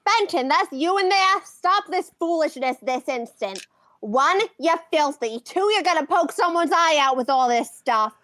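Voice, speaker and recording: Scolding elderly cartoon mom, acted by a woman: high-pitched, indignant, no-nonsense. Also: animated, moderate pace, a bit bright, dry recording. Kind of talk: live amateur comic dub acting.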